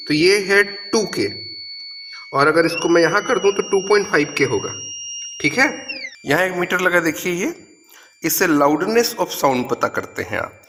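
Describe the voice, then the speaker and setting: A man giving a step-by-step lab demonstration as a physics teacher, instructing and pointing things out as he goes. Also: high voice. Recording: echoey room, noisy with hum.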